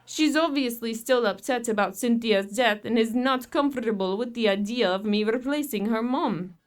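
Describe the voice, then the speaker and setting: A woman plays a young girlfriend in an audio horror drama. Her voice is fairly high, and she speaks with anxious, earnest exasperation and some bitterness. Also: moderate pace, natural voice. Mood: exasperated. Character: worried, bitter younger girlfriend of widowed father.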